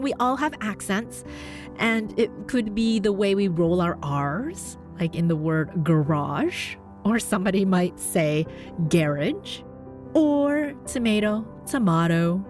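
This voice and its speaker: A Canadian woman with a neutral North American accent hosts a podcast as a voice coach. She sounds upbeat and encouraging, with her voice leaping upward to stress her points. Soft music plays faintly underneath. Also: animated, measured pace. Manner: upbeat, encouraging, with big jumps in pitch for emphasis. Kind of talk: scripted podcast monologue.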